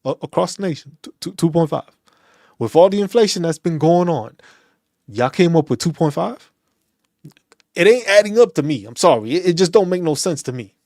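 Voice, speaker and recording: A man from New City, speaking in a slightly higher voice than most men. He rants in loose, casual talk, restarts and repeats himself, and is recorded close and dry. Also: brisk, no echo. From New York City. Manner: animated, ranting, repeats phrases and restarts. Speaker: veterans' channel host.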